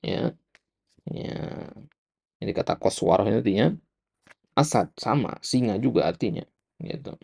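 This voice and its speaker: Low, very creaky Indonesian male teacher. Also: normal speed, long pauses, darkish tone. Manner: didactic, repetitive, frequent short check words. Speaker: Islamic studies teacher. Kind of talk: explanatory religious lecture, reading and glossing an Arabic text.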